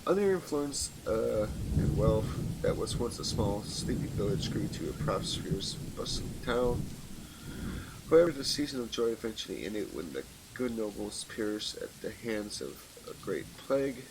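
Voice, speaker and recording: Low-voiced man playing through a game. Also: very animated, normal speed, creaky, background noise. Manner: informal, stumbles while reading. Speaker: let's play host. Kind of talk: casual game commentary and reading story text aloud. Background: game music, rain.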